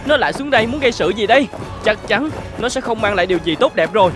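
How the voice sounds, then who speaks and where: A performer playing a brave, defiant boy in an animated fairy tale, bold and taunting. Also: medium pitch, fast, over music, natural voice. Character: brave, defiant boy, half-celestial son of a prince.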